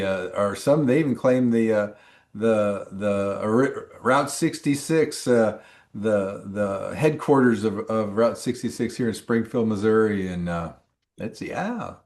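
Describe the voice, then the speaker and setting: A male instructor chatting casually with students on a video call, rambling and full of ums and restarts. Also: fairly low pitch. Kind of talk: casual chat with students in a live class.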